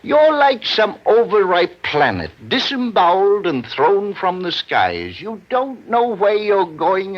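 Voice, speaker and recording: A theatrical boozy philosopher in a vintage radio mystery. The voice is fairly high and husky, swooping wildly through pompous, florid scolding. The recording is dull. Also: male voice, heavily acted. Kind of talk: 1940s radio drama acting.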